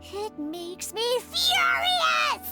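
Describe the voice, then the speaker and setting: A woman's voice: extremely high pitch, big pitch swings, very slow, heavily acted. A woman voicing a feisty, bratty little cat-girl bartender with a childlike, emphatic delivery in a video game. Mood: indignant. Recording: game music behind the voice.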